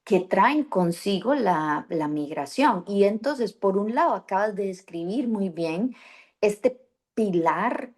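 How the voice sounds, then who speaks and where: A woman's voice: mid-range voice, lively intonation, slow, darkish tone. A woman hosting a podcast interview on raising bilingual children, speaking reflectively with many fillers and restarts. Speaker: podcast host and bilingual parenting coach. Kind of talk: conversational interview.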